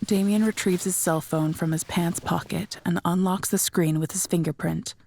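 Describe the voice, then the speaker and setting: Woman narrator for an audio drama, lowish voice, reading a script. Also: steady tone, moderate pace, gravelly, darkish tone, slight background noise.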